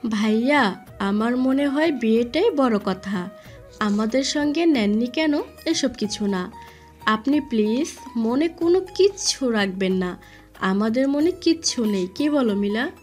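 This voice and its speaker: A woman's voice: on the high side, animated, a little slow. A woman acting a polite, reassuring sister-in-law in a family audio story. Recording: faint music behind.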